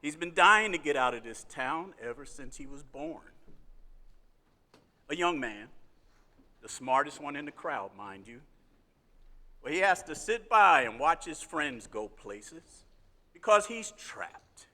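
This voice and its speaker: A domineering, greedy old banker on a theater stage. His voice is very bright and a little high, and it rings out into an echoey hall. He speaks with a blustering, commanding edge. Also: animated, unhurried, long pauses. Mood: scheming. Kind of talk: live radio play acting.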